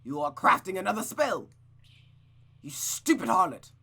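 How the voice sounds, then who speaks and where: A man's voice: high-pitched, very animated, normal speed, gravelly, sharp tone, slightly rough, pitched above the performer's own voice, exaggerated voice. A man voicing a controlling, bitter mother in a visual novel, cold, commanding and lecturing. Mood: furious, menacing. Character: controlling, bitter, menacing mother, adult woman.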